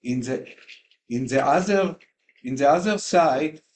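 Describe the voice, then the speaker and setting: A man whose first language is Hebrew, sharing a personal reflection in a class discussion, hesitant with many pauses and fillers. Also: on the high side, a little mellow. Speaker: class participant.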